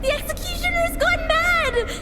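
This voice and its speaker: Amelia, a shrill, frantic captive. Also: female voice, moderate pace, very noisy. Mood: terrified pleading. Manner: breathless, frantic, pleading. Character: frightened, pleading female captive named Amelia. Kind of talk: video game drama acting. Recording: long cavernous reverb, music underneath.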